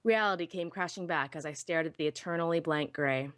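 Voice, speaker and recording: A woman playing a shy, self-deprecating young pony technician who narrates her own story in an expressive, wistful, wry way. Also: low voice, fairly quick, no echo, clean studio sound, lightly acted. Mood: weary, wistful. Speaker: first-person narrator. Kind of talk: dramatic live audiobook reading.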